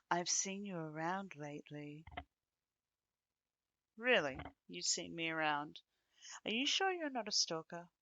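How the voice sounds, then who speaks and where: A woman acting an affectionate, teasing companion in an intimate ASMR roleplay, speaking casually and conversationally with pauses. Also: lowish voice, sharp tone, no room sound, lo-fi sound, heavily acted.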